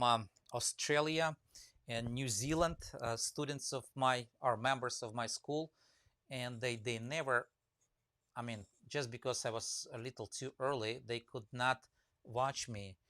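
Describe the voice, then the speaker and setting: A man's voice: mid-range voice, fairly even intonation, measured pace, a bit bright, dry recording. Russian accent guy rambling on a live stream, lots of uh and um, dry close mic. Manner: rambling, many fillers, reads comments aloud. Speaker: woodcarving teacher live-streaming. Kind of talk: casual live-stream chat with viewers.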